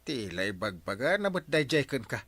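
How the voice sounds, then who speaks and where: A man with an Ilocano accent playing an excitable, stammering, bumbling village captain in a radio comedy. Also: mid-range voice, normal speed, no room sound. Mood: reassuring.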